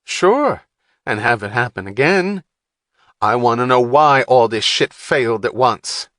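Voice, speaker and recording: A man's voice: mid-range voice, moderate pace, a bit bright, dry recording, studio quality, natural voice. A man voicing an irritable, profane male computer technician who snaps at everyone. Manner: snapping, abrasive, exasperated.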